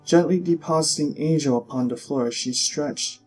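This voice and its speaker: A man reading scripted story narration in a measured, expressive way with deliberate pauses. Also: on the high side, rough recording. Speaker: audiobook narrator. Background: music.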